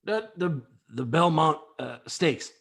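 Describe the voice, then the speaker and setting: A man playing a clueless, confused radio caller in a comedy table read, stammering and halting as he talks. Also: a little high, expressive, gravelly, fairly bright tone, full character voice.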